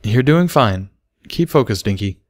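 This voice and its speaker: A man voicing a patient male unicorn teacher in a storybook, speaking slowly with gentle command. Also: on the low side, lively intonation, no room sound, studio quality. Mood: encouraging. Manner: slow, gentle, instructive. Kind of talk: storybook character voice.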